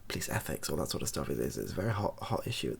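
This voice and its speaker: A British man speaking softly in a rambling, hesitant, improvised opinion monologue for an online video. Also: very deep voice, sing-song, racing, gravelly, husky. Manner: soft-spoken, hesitant and rambling.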